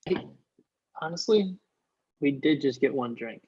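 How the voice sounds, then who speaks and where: Muffled comedy read: man as sheepish young guy, halting and slow. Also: medium pitch, expressive, a little mellow, slight background noise, lightly acted. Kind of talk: comedy script table read.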